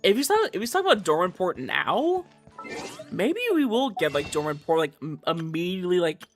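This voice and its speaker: A young man livestreaming a game, chatting casually in a rambling, halting way with animated emphasis. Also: high voice, soft background music. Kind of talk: casual livestream chat over gameplay.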